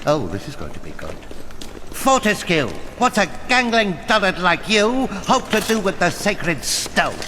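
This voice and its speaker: An actor playing a theatrical, gloating villain sorcerer in a video game, with a pompous, sneering and mocking delivery. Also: male voice, sits high, sing-song, unhurried, sharp tone, long reverb tail, slight background noise, heavily acted. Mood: scornful. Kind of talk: video game cutscene acting.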